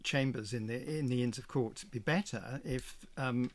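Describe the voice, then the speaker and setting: A male radio interviewer in a studio, speaking at a middling pitch. He asks pointed, probing questions, often pausing on ums and uhs as he builds them.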